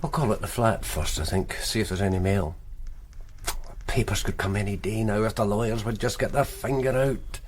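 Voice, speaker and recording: An actor playing a dry, weary, matter-of-fact police sergeant in a radio drama. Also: male voice, on the low side, moderate pace, a little hiss or hum, close to an everyday voice. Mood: wry, intimate. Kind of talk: naturalistic radio drama acting.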